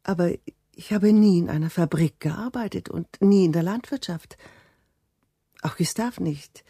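An elderly wife in a radio drama, anxious and deferential. Her voice is dark and rough-edged, recorded very dry. She asks hesitantly but keeps pressing. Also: fairly low pitch, a little slow, no room sound. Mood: anxious, polite. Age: older. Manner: hesitant, insistent, deferential questioning. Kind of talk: radio play acting.

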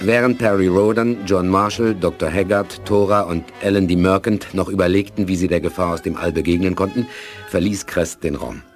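A man narrating a scripted radio play in a measured storytelling manner. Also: low-pitched, fairly even intonation, faint music behind, lightly acted.

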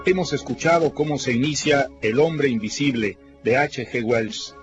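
A man's voice: mid-range voice, moderate pace, lo-fi sound, music in the background. A man presenting a radio programme as its host, in a scripted style.

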